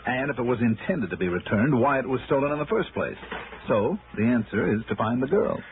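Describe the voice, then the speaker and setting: An actor playing a wry, persistent male insurance investigator in 1960s radio noir, brisk and probing as he talks and narrates. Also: mid-range voice, animated, narrow, tinny audio, background noise, close to an everyday voice. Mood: determined. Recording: band-limited old radio broadcast, music behind.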